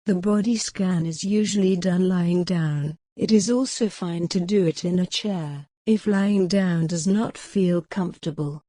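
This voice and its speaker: Woman, meditation guide, very unhurried pace, soft mellow tone, soothing delivery, long pauses. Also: lowish voice, no echo, studio quality. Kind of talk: guided mindfulness meditation narration.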